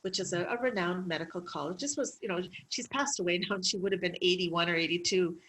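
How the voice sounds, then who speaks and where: Lively storytelling woman, medical clown. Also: on the low side, fast talker, rough recording. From Canada. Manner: lively storytelling with frequent laughs and fillers. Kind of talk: interview conversation.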